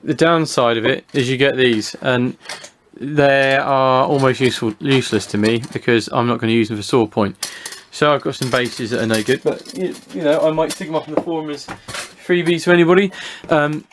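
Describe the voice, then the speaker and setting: British male hobby reviewer, mid-pitched, informal and unscripted, hesitant, thinking aloud as he demonstrates. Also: normal speed, hardly any pauses, slight background noise. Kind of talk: casual unscripted product review, talking through a demonstration.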